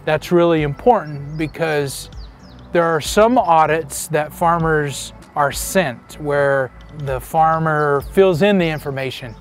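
A man's voice: on the high side, takes it slowly, faint music behind. A man in his fifties giving an explanatory interview as an energy specialist. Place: outdoors among trees by a wooden fence.

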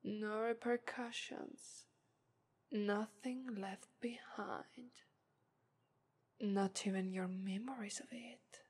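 A woman's voice: mid-range voice, steady tone, a little mellow. A woman voicing an arrogant, seductive female demon of lust in a whispered ASMR roleplay, with condescending, teasing taunts. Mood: coaxing. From Italy. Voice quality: breathy whisper, slow and teasing. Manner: condescending, purring "ara ara" taunts. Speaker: voice actress.

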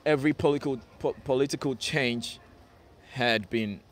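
A man's voice: medium pitch, normal speed. A young Ghana-born man live-streaming outdoors on a sunny city street, chatting casually with viewers and filling his talk with "okay" and "yeah". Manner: relaxed, repetitive, drops in "okay" and "yeah" often. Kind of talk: casual live-stream chat, reading viewer comments aloud. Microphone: phone held at arm's length. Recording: phone recording on a noisy street. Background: street and traffic noise.

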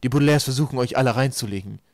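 Storybook villainous leader, read by a man: medium pitch, measured, condescending, slyly persuasive. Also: darkish tone, no echo, clean studio sound, close to an everyday voice. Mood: accusing. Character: smooth-talking manipulative island leader, calmly hostile man.

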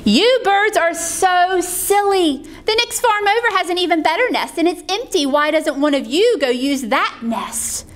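A woman's voice: very high voice, lively intonation, unhurried, few pauses, fairly bright tone, pitched above the performer's own voice, exaggerated voice. A woman voicing a scheming, coaxing male cat in a children's storybook. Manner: exclamatory, coaxing.

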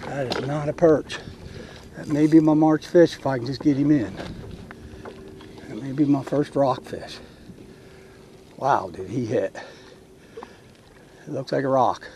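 Excited middle-aged angler on a windy kayak. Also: male voice, medium pitch, measured pace, pauses often, highs cut off. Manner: excited, talking to himself while fighting a fish. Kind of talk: live on-the-water commentary. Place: kayak on open water, outdoors, windy. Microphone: action camera mounted on the kayak. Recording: wind noise on action camera.

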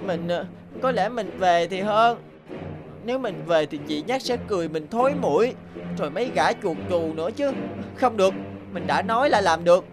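An actor playing a cocky, eager little boy mouse in an animated cartoon, excitable in manner. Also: mid-range voice, moderate pace, close to an everyday voice. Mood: nervous. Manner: boastful, pleading, excitable. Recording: music behind the voice.

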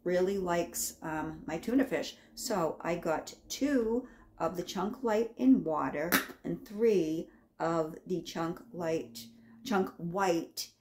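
A woman's voice: on the low side, slow, darkish tone. Chatty middle-aged woman, noisy home recording. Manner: chatty, rambling, repeats item names. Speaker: home vlogger showing a grocery haul. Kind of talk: casual chat to camera. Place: home kitchen, indoors.

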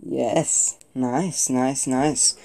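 A man's voice: medium pitch, steady tone, very slow, creaky, dark tone, slight background noise. A young man from Colombia, talking casually while unboxing and reviewing a toy, rambling with lots of fillers and restarts. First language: not Spanish. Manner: chatty, hesitant, many fillers and restarts. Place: indoor room at a table. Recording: background guitar music. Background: guitar music.

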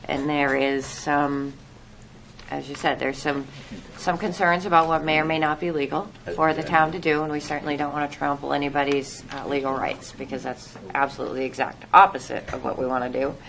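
A woman's voice: low-pitched, level tone, brisk, gravelly, fairly bright tone, slight background noise, poor audio. A woman explaining things informally and with careful, hesitant diplomacy as an official at a public meeting.

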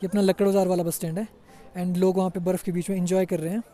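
Brief: young man vlogging outdoors, high voice but mellow tone, easygoing and chatty. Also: level tone, racing, faint music behind. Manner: chatty, says "yaar" a lot, mixes in English. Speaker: travel vlogger. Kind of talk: casual walking tour commentary. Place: outdoors on a busy hill-town street.

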